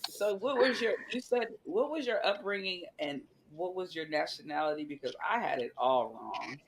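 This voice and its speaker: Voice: mid-range voice, lively intonation, normal speed, a little hiss or hum, low-quality recording. A podcast host asking questions in an earnest, conversational way, leaning on "you know". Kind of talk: casual interview chat.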